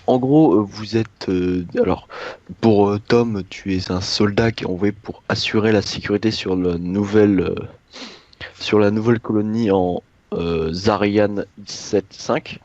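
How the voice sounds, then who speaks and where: A man acting as game master of a role-playing game, explaining the scenario haltingly. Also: on the low side, fairly even intonation, a little mellow, no room sound, lo-fi sound.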